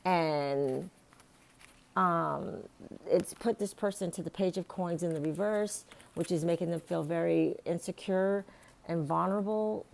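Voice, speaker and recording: A woman with a raspy, hoarse voice giving a casual tarot reading, rambling with many fillers and restarts. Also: on the low side, a little mellow. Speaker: tarot reader.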